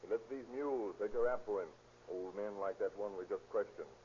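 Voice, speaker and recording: A man plays a self-assured, patient police detective in a vintage radio play. His voice is dark and mellow, his manner frank and matter-of-fact. The recording is dull and hissy. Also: lowish voice, a little fast, lightly acted. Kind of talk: 1930s radio acting.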